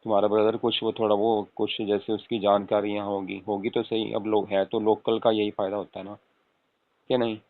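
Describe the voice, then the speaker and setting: A man's voice: lowish voice, level tone, brisk, lightly acted. A man playing an affectionate young husband on a casual late-night call, coaxing and often asking for agreement. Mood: explaining, reassuring. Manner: coaxing, repeatedly seeking agreement with tag questions. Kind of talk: acted casual phone conversation with spouse. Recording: tinny phone-call audio with room echo.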